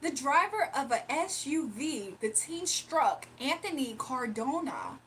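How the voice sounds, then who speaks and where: A woman putting on the voice of a stiff female TV news anchor reading a teleprompter, in a slow, measured cadence. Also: high-pitched, sing-song, a little hiss or hum. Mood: formal.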